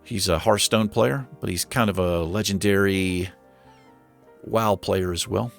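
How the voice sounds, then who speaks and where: A man casually commenting on his city-building game while he plays, thinking aloud with lots of fillers, his voice going hoarse. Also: sits low, unhurried, soft background music. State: losing his voice. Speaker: gaming channel host.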